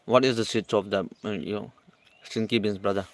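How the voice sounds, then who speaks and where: A man hosting a casual livestream, reading viewer comments aloud and answering in halting English full of restarts. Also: fairly low pitch, fairly even intonation, slight background noise. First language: not English.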